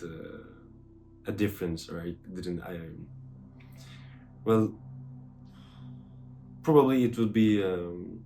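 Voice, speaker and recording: A man's voice: on the low side, darkish tone. A young man with a Turkish accent, answering interview questions thoughtfully, with many fillers and restarts. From Turkey.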